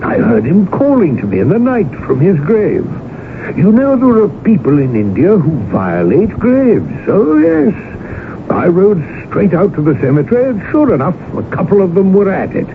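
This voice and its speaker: Boastful genial colonel, tall-tale teller, highish swinging voice, clubman phrasing, radio drama. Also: huge pitch swings, normal speed, exaggerated voice. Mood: boastful, hearty. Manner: pompous, hearty, old-fashioned clubman phrasing. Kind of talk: 1980s radio drama acting. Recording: tinny, noisy old radio broadcast recording.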